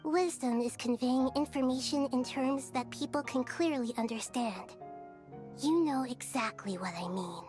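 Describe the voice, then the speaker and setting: An actress playing a small, wise goddess in a video game, serene but firm, speaking with calm, solemn deliberation. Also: female voice, high voice, unhurried. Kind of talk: scripted video game voice acting. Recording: music under the voice.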